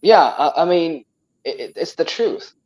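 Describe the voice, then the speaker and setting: A young man with a Moroccan accent and Tamazight as his first language talks in a casual chat. He is animated and often restarts and repeats words. His voice sits a bit high for a man. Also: a little slow, low-quality recording. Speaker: guest.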